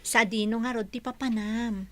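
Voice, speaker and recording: An actress playing a defensive, exasperated young wife in an Ilocano radio drama, with an Ilocano accent. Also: female voice, on the high side, steady tone, moderate pace, bright, forceful tone.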